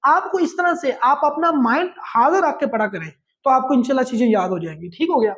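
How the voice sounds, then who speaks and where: Male Pakistani law lecturer, notably high-pitched, emphatic and repetitive exam-coaching delivery. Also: lively intonation, a little fast, a bit bright. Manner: repetitive, emphatic, drilling key points. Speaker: law teacher.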